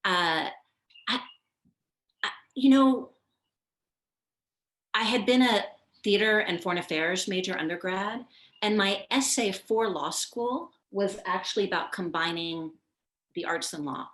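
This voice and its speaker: A woman's voice: medium pitch, fairly bright tone. Chatty woman producer in an echoey room. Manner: chatty, quick, laughing asides. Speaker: film producer, guest. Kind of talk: casual conversation. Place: living room with bookshelves. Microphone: video call, far camera.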